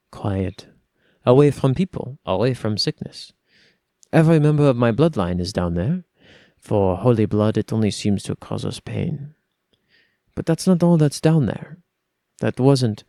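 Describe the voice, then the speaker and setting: A man putting on a hushed French-tinged necromancer. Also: medium pitch, no echo, clean studio sound. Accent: put-on subtle French. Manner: hushed, slow, solemn delivery. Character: brooding necromancer of a cursed bloodline. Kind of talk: dramatic reading of a first-person lore piece.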